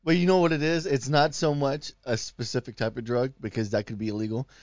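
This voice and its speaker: A man's voice: mid-range voice, fairly even intonation, a little fast, darkish tone, no room sound. Man riffing on a dry, dull podcast mic. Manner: profane, riffing, laughing often. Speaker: podcast co-host. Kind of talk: crude comedic banter.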